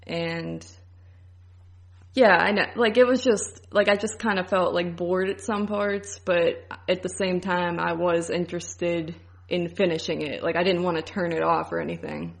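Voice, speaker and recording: A woman's voice: on the low side, steady tone, normal speed, vocal fry. A woman co-hosting a podcast, giving her opinions in casual film review chat. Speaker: podcast co-host.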